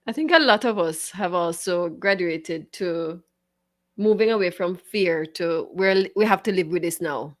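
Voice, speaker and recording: Woman co-hosting a chat, calm and measured, lots of mm-hmms. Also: fairly low pitch. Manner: measured, gives lots of agreeing backchannels. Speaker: co-host. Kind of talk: casual chat.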